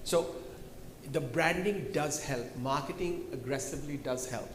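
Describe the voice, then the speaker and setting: A man on a conference panel, speaking confidently as he pitches his company's results. His voice carries through a large hall with a long, roomy echo, and loud ambient noise sits underneath. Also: measured pace.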